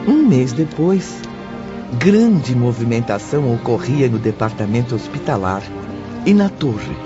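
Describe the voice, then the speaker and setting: A man's voice: medium pitch, big pitch swings, unhurried. A man narrating a scripted audiobook as a storyteller. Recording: muffled audio with music behind.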